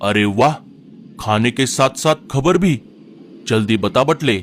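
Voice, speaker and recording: A man's voice: medium pitch, expressive, measured pace, slight background noise, lightly acted. A man voicing a greedy, bossy male vulture chief who barks rude orders at his underlings in a cartoon. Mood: pleased. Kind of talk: cartoon voice acting.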